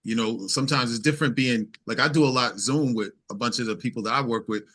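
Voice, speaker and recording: A man's voice: mid-range voice, quick pace, bright, forceful tone. A man speaking as a musician guest in casual conversation, explaining things and checking for agreement.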